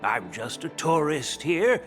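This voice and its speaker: A man's voice: fairly high pitch, slow, faint music behind. An actor voicing an elderly retired male general who is easygoing and jovial. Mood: genial. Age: older. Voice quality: relaxed, genial. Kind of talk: video game voice acting.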